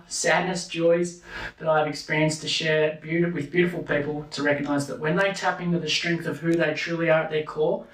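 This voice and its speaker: A man delivering a motivational talk, counting off numbered points slowly and deliberately. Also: fairly high pitch, a bit bright. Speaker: speaker. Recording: echoey room from a distance.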